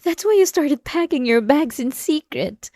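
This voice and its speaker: A woman's voice: high voice, expressive, normal speed, warm tone, dry recording, clean studio sound. A woman playing an unhinged, possessive and obsessive wife in a dramatic ASMR roleplay, intense and swinging between pleading and menace. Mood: accusing, hurt. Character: unhinged possessive, obsessive young wife confronting her husband.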